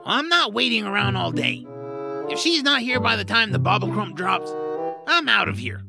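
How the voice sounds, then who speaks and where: A man's voice: sits high, animated, moderate pace, vocal fry, over music. An actor playing a reluctant, complaining young male hero in a fantasy audio drama. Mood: grumbling. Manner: complaining, exasperated.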